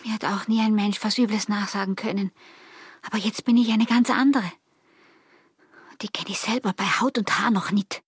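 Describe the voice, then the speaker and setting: An actress playing a passionate, calculating young peasant wife in a radio drama, with an Austrian accent, often slipping into hushed, breathy whispers. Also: female voice, mid-range voice, fairly even intonation, moderate pace, long pauses, no echo, clean studio sound. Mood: cold, intense. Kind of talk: German radio drama acting, intimate.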